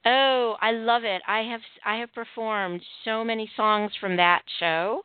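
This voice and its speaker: A woman with a Midwestern accent hosting a casual chat, talking fast with many ums and restarts. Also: on the high side, fairly even intonation, clean tone, dry recording. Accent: Midwestern American. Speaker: host. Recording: tinny phone-like line.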